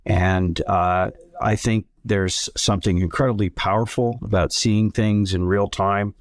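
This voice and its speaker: A man, telling his story as an interview guest in a measured, earnest way. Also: on the low side, fairly even intonation, dry recording, studio quality. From Canada. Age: 60s. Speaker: interview guest, submarine company founder. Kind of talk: conversational personal storytelling.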